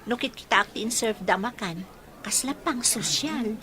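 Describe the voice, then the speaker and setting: An actress with an Ilocano accent playing a frank, caring young woman being courted in an expressive radio drama. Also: a little high, normal speed, a crowd in the background, natural voice. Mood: frank, teasing.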